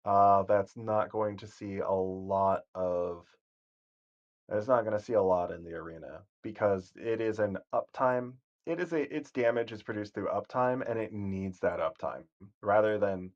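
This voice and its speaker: A man giving casual, rambling commentary on a game stream, with a calm, soothing voice and frequent self-corrections. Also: on the low side, a little slow, lo-fi sound. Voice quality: soothing, sleep-inducing voice.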